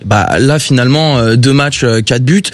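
A man analysing a football match on a radio debate, measured and hesitant with many fillers. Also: medium pitch. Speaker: football commentator.

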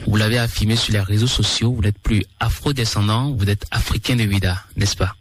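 Radio news guy, formal and steady, asks interview questions. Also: lowish voice. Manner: measured, formal.

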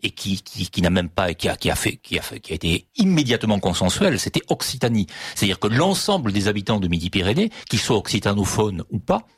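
A man speaking as a guest in a panel discussion, measured and often correcting himself mid-sentence. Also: on the low side, big pitch swings, husky, dry recording, clean studio sound. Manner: measured, self-correcting, hesitant starts.

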